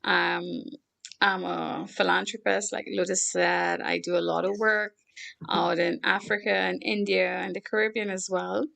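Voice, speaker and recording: Female guest, middle-aged, Trinidadian accent, heavy vocal fry, earnest conversational interview delivery. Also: on the low side, flat delivery, unhurried, a bit bright. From Trinidad and Tobago. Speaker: show guest, philanthropist.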